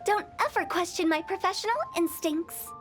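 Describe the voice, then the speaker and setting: A woman's voice: extremely high pitch, moderate pace, loud music behind the voice. A woman playing a playful, self-assured young female exorcist in a video game, with a teasing, theatrical manner. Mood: confident.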